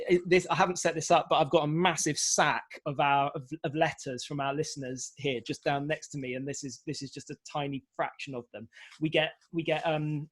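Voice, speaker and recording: A man speaking as a panellist in a conversational way, haltingly, often repeating words as he works out his answer. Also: fairly high pitch. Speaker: prison radio broadcaster, panellist.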